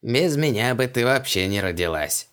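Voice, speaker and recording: A man voicing an elderly father in an audiobook, gruff, caring and dryly teasing. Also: mid-range voice, lively intonation, normal speed, a bit bright, lightly acted. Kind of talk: audiobook character voice.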